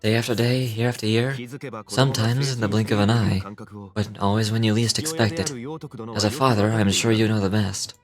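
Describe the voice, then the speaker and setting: A man playing a composed, wise and courteous young-looking gentleman in a video game, with measured, formal, philosophical delivery. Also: lowish voice, steady tone, crowd noise, close to an everyday voice. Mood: calm, reassuring.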